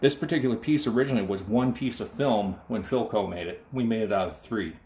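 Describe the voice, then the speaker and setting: A man's voice: fairly low pitch, fast, darkish tone. A man giving a hands-on demonstration as a restorer, explaining methodically and in detail. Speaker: radio restorer presenting his work.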